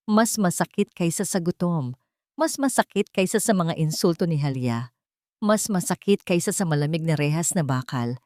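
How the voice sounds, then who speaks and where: A woman's voice: deep voice, darkish tone, no echo, clean studio sound. A woman reading a scripted, dramatic love story as its narrator.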